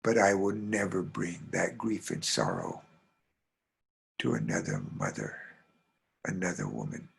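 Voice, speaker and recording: A man voicing a sorrowful ghostly woman who mourns her lost children in a plaintive, drawn-out way, in an oral folk tale. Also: fairly high pitch, little pitch movement. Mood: sorrowful, resigned.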